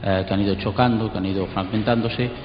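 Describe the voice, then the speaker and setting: A man's voice: fairly low pitch, steady tone, fast talker. A man being interviewed as an expert, explaining informally and hesitantly, with tag questions. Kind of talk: spontaneous explanatory interview talk. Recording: dull playback, echoey hall, faint music.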